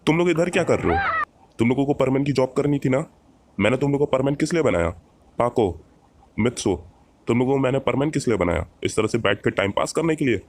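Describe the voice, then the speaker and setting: A man's voice: sits low, brisk, a little mellow, slight background noise. A man playing a bossy alien bird-man who mentors young superheroes in a cartoon dub, commanding and given to lecturing. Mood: scolding. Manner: commanding, lecturing with repeated rhetorical questions.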